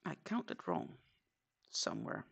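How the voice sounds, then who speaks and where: A woman with a Danish accent chatting casually on a crochet live stream, counting her stitches aloud and answering viewers. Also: measured pace.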